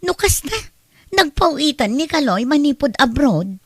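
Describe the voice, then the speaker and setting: A woman's voice: sits high, sing-song, moderate pace, dry recording, studio quality. An actress playing a wary neighbor woman who nags about debts, in an Ilocano radio drama. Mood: exasperated.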